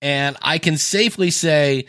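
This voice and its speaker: A man's voice: fairly high pitch, unhurried, a bit bright, dry recording, studio quality. An American man from Ohio hosting a podcast in a casual, rambling, self-deprecating way, acting out quotes as he goes. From Ohio, United States. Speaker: podcast host. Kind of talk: casual solo podcast talk.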